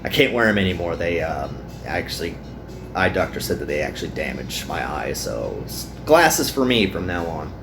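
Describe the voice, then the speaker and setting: Animated low-voiced man hosting a playthrough. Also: normal speed, creaky, over music. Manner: jokey, animated, self-interrupting. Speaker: host of a visual novel playthrough. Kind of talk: casual reading and joking commentary.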